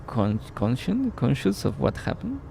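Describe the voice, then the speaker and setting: Slow, gravelly man streaming a game. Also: mid-range voice, huge pitch swings, dark tone, slight background noise. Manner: thinks aloud, quick puzzled exclamations; talks to chat viewers. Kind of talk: live gameplay commentary.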